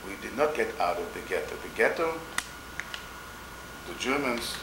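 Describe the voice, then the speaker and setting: Old polish-born guy telling his story, deep voice, stops n repeats a lot, roomy noisy recording. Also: expressive. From Poland. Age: older. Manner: halting, slow, repeats words and restarts. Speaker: interviewee, Holocaust survivor. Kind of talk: oral history testimony. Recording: echoey room with background noise.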